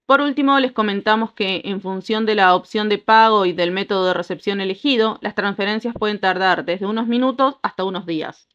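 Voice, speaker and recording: A woman, reading a scripted app tutorial in an even, instructive way. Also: medium pitch, brisk, hardly any pauses, dull audio. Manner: even, instructive step-by-step delivery. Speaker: tutorial narrator. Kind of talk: scripted voice-over narration.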